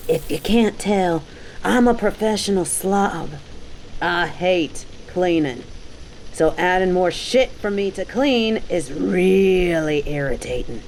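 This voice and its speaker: A woman's voice: on the low side, darkish tone, slight background noise, poor audio. A woman playing a cocky, dominant bounty hunter in an audio roleplay, with a mocking, taunting, slangy delivery. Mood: irritated, dry. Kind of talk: scripted roleplay audio drama.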